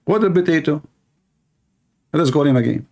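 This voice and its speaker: A man's voice: on the high side, lively intonation, normal speed, mellow. A man with an Arabic accent, a native Arabic speaker, hosting informal live teaching in a mocking, repetitive way full of rhetorical questions. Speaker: live stream host and religious polemicist.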